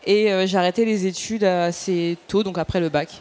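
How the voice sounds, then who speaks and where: A woman of twenty-four from the French suburbs, speaking informally and haltingly to an audience as a panel speaker. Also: on the low side, fairly even intonation, darkish tone. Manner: casual, hesitant, halting. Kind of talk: informal self-introduction to an audience.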